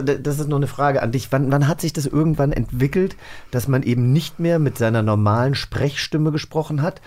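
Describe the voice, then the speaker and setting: A man with a mid-pitched voice hosts a relaxed interview in casual style. He talks conversationally, as a show host would with a guest. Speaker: host of an audio drama magazine show; actor. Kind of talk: casual studio interview chat.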